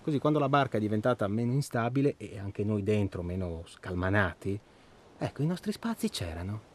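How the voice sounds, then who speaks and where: An actor in a radio drama playing an irritable, bitter married man, halting and repetitive, swearing as he talks in a naturalistic way. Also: fairly low pitch, very animated. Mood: exasperated, wry. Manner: profane, halting, repeats himself.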